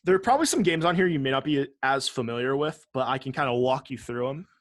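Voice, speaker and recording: A man's voice: medium pitch, lively intonation, fast talker, dry recording. Casual male podcast co-host, dry close sound. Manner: profane, joking and sarcastic. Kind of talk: casual gaming podcast chat.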